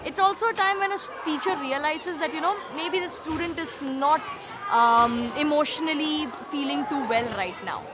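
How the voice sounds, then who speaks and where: A woman's voice: high voice, normal speed. A woman who teaches, explaining her work in an interview. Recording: music and crowd noise behind.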